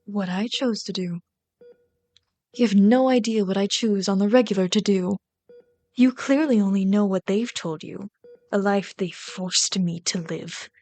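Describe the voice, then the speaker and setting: A woman voicing a bitter, yearning female villain in an intimate ASMR roleplay, brooding and dramatic, slipping into breathy whispers. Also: medium pitch, a little slow, a little mellow, lightly acted. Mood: scornful, impassioned.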